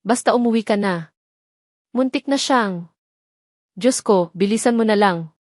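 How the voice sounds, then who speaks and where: A woman's voice: medium pitch, unhurried, no echo, clean studio sound, natural voice. A woman voicing a scheming younger woman who pleads urgently in feigned tearful panic for an audio drama. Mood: panicked. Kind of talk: Tagalog audio drama acting.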